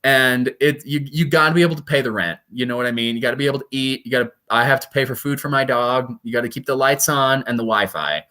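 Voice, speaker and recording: Bright-voiced chatty man from Colorado. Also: medium pitch, fast, hardly any pauses. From Colorado, USA. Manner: chatty, animated, self-deprecating with asides to listeners. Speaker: guest performer. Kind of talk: casual interview chat.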